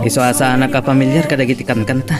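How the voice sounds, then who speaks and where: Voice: mid-range voice, steady tone, rapid-fire, loud music behind the voice, close to an everyday voice. A performer playing a chummy, advising male singing partner in an Ilocano audio drama, with an Ilocano accent. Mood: casual. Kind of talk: Ilocano radio-style drama acting.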